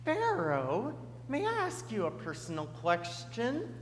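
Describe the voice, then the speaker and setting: A woman's voice: fairly high pitch, wildly swooping pitch, a little slow, a little hiss or hum. Woman playing a solemn, stern prophet. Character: stern male prophet pleading with a ruler. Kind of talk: amateur stage play acting. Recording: echoey hall with long reverb.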